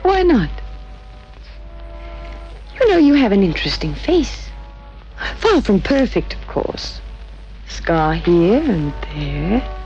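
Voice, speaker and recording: Slow, lilting femme fatale. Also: female voice, mid-range voice, very animated, darkish tone. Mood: teasing, coy. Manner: coy, playful, taunting. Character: cool, teasing, seductive femme fatale. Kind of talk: old-time radio crime drama acting. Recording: dull old radio recording, faint music.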